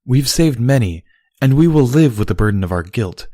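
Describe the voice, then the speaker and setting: A storybook pony princess, voiced by a man, speaks well above the reader's natural pitch. She is formal and dutiful, arguing her case in measured, stilted phrases. The sound is dry. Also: lively intonation, mellow, no room sound, lightly acted. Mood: grave. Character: formal, dutiful, argumentative immortal pony princess, younger sister. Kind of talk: dramatised storybook reading.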